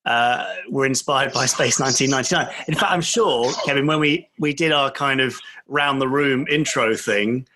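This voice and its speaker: A man's voice: mid-range voice, moderate pace, background noise. A man hosting a casual interview, speaking in a polite, thoughtful way. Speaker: podcast host.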